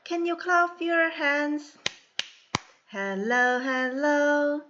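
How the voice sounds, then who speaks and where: A woman teaches beginners, stretching every word very slowly. Her voice sits a little high, and she drills each phrase in a sing-song way. The recording sounds dull and lo-fi. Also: steady tone, slight background noise. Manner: slow, exaggerated drilling with sing-song prompts and soft asides. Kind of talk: slow repeat-after-me classroom lesson.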